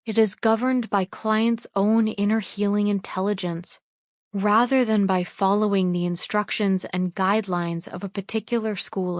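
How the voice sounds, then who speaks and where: A woman reading a book excerpt aloud in a formal, measured audiobook style. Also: medium pitch, dry recording, studio quality. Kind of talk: scripted read-aloud, audiobook-like narration.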